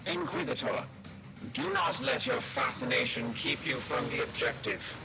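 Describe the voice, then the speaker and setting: A man's voice: on the high side, huge pitch swings, normal speed, creaky, full character voice. A man playing a cautious, dutiful, coldly formal tech adept in a video game cutscene, giving clipped warnings. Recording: loud music behind.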